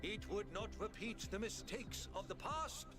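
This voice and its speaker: A pompous video game mayor addresses his citizens with slow, stately oratory. His voice sits high and unhurried. Loud game music plays underneath. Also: animated. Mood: solemn. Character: pompous male city mayor giving a speech.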